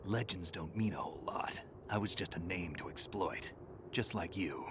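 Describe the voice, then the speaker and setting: A man voicing a gravelly, very low-voiced veteran soldier. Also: normal speed, lightly acted. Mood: stern. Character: gruff veteran male soldier, terse and stoic. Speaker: game character. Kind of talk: video game cutscene voice acting. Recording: game audio with ambient noise.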